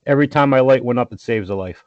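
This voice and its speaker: Gruff blunt middle aged dad cracking jokes over a muffled call, dry sound. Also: male voice, mid-range voice, quick pace, darkish tone, no room sound. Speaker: guest, the host's father. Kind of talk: casual chat. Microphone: remote call. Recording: dull, lo-fi call audio.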